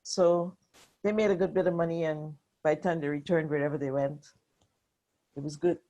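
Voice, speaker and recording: A woman on a family video call, telling family history in a slow, halting way. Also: low-pitched, fairly even intonation, dark tone, dull audio.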